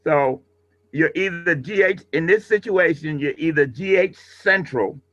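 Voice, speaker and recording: Dull lo-fi audio, man, bright forceful voice, medium pitch, instructor, informal explanatory coaching. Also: a little slow. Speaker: instructor of a radio class. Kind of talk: informal spoken correction and coaching.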